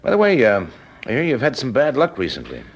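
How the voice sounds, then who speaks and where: A man's voice: medium pitch, wildly swooping pitch, brisk, breathy edge. An actor playing a wry, easygoing male private eye working undercover in a radio detective drama, probing with hesitant, dry remarks. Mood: probing, curious. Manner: hesitant, probing, dryly humorous.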